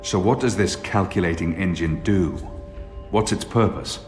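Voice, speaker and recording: An actor playing a calm, grim male warrior fugitive in a video game, who speaks in short, measured, deadpan lines. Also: low-pitched, faint music behind, close to an everyday voice. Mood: stern.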